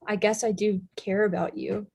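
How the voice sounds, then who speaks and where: A woman's voice: mid-range voice, fairly even intonation, normal speed, vocal fry, a little mellow, slightly rough. A young woman in a staged play reading, playing a nosy, evasive girl with a flippant, deflecting manner. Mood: defensive, tender.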